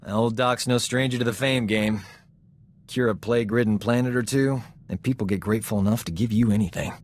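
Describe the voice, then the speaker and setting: An actor playing a cocky, flirtatious combat medic in a science fiction video game, with a breezy, boastful, wisecracking delivery. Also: male voice, on the low side, brisk, natural voice. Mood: teasing, amused. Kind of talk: video game voice acting, character dialogue.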